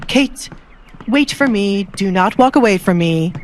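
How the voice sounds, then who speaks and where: A woman's voice: mid-range voice, lively intonation, unhurried, slight background noise, close to an everyday voice. A woman voicing a scheming, irritable middle-aged baron in an audio drama, scolding and calculating. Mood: urgent.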